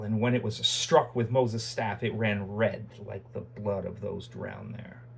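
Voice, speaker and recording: A man's voice: mid-range voice, slightly rough. A man teaching a Bible lecture in a conversational way, restarting sentences often and making self-deprecating asides.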